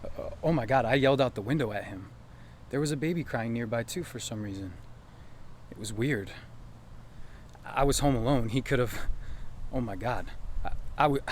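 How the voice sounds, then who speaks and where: A man's voice: medium pitch, slight background noise, close to an everyday voice. Actor as flustered young American local guy. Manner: halting, candid, exclamatory. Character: flustered young male small-town local, candid. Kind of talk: naturalistic audio drama dialogue.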